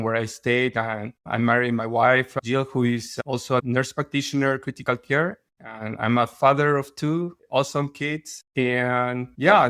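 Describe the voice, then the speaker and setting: A man's voice: mid-range voice, a bit bright, no echo, clean studio sound. A middle-aged man from Peru with a Peruvian Spanish accent, talking conversationally as a podcast guest, restarting phrases as he goes. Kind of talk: conversational interview.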